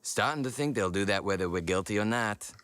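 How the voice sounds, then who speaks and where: A man's voice: on the low side, expressive, a little fast, fairly bright tone. A man playing a grumbling, sardonic, always hungry adventurer sidekick in a comedy audio drama.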